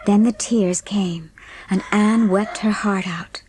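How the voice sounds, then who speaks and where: A woman's voice: medium pitch, fairly even intonation, slow pace, a little mellow, slight background noise. A woman reading scripted narration for a dramatised audiobook. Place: dry studio.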